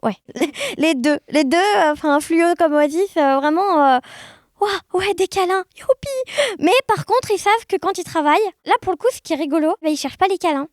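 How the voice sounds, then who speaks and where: High-voiced woman telling casual stories. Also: expressive, normal speed, no room sound, clean studio sound. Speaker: podcast guest, blind guide dog user sharing experiences. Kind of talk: casual, conversational storytelling.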